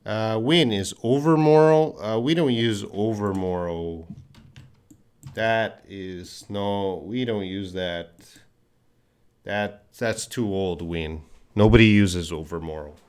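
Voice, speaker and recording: A middle-aged man with a light Canadian accent teaches English on a live stream. He speaks slowly and patiently in a lowish voice, repeating words clearly so learners can follow along.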